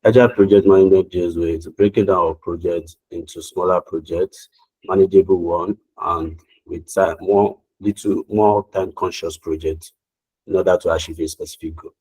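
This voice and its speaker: Man, very deep, husky, flat delivery, polite formal visa applicant, video call. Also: moderate pace, no room sound, low-quality recording. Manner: polite, formal, hesitant answers. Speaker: student visa applicant in a mock interview.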